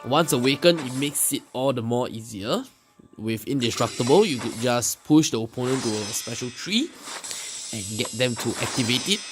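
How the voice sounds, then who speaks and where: A man giving casual, unscripted game commentary as a video host, explaining things in a hesitant way with pauses mid-sentence. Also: medium pitch, animated. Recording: loud music behind the voice. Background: loud music under the commentary.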